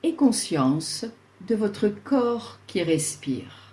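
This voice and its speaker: A woman leading a guided yoga relaxation, speaking soothingly and leaving long pauses between short instructions. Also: medium pitch, very animated, a little mellow.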